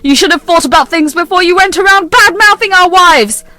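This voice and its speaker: A woman's voice: very high voice, brisk, sharp tone, no room sound, faint music behind, voice pushed up. A woman voicing an angry adult son who scolds his mother harshly.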